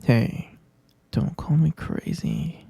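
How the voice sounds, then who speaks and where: A man playing an obsessive, sweetly menacing kidnapper lover in a whispered, husky ASMR roleplay, coaxing and clingy. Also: mid-range voice, wildly swooping pitch, mellow, no room sound, heavily acted. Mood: insistent, lovesick. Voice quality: breathy, husky near-whisper with creaky fry. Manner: slow, coaxing, clingy, pet names.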